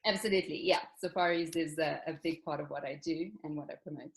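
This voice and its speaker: Soft-spoken South African woman. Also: sits low, fairly even intonation, creaky. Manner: soft-spoken, many ums, unhurried. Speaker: travel company owner, interview guest. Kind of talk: casual interview.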